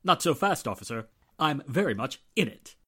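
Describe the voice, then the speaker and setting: A man's voice: mid-range voice, very animated, normal speed, pushed and bright, husky, exaggerated voice. A man playing a haughty, indignant stage actor, pompous and formal, in 1940s radio style. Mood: insistent.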